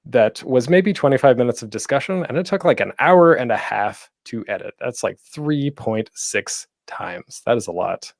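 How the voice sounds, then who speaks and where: A man's voice: mid-range voice, animated, moderate pace, vocal fry, few pauses, no echo, studio quality. A man presenting an informal online panel as a podcast host, speaking in a conversational, encouraging way with self-deprecating humor.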